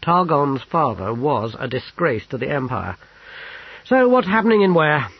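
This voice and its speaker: A stern, proud old general from a storybook voiced by a man, gruff and indignant. His husky voice swings widely in pitch, with clipped, forceful phrasing. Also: animated, measured pace, no echo, dull audio, exaggerated voice.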